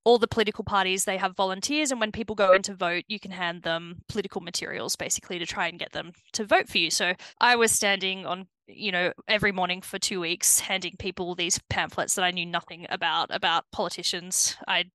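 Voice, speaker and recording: A woman's voice: lowish voice, steady tone, fairly quick, hardly any pauses, pushed and bright, dry recording, low-quality recording. A young woman with an Australian accent, chatting casually as a podcast guest and laughing often. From New South Wales, Australia. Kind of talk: casual interview chat.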